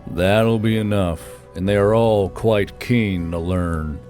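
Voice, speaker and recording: A man voicing a weary, matter-of-fact leader who murmurs in a measured way in a fantasy story. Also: on the low side, a little mellow, faint music behind, pitched below the performer's own voice. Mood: patient, weary.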